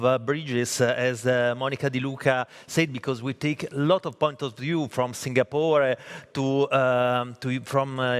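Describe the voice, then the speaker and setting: A man's voice: mid-range voice, a bit bright, smooth. A man with an Italian accent moderating a conference session, hesitant and full of fillers and restarts. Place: conference hall.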